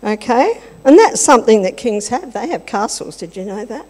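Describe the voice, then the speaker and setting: Give me an older woman with a slightly high voice, giving a chatty children's talk into a handheld mic in a big church hall with long reverb. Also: sing-song, normal speed, mellow. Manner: chatty, teasing, asks the children questions. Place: large church hall with congregation. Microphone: handheld microphone.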